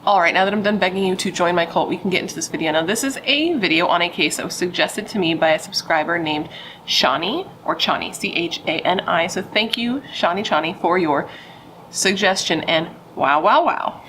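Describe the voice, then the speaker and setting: A woman's voice: lowish voice, a little fast, a bit bright, slight background noise. Playful, chatty woman telling true crime. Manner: playful, swears casually, dramatic asides. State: pregnant.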